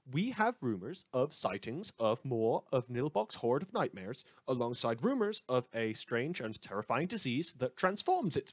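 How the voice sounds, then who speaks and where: A man's voice: mid-range voice, no echo. A man playing a wry, rambling radio newscaster in a fictional post-apocalyptic news broadcast, speaking with theatrical emphasis and asides.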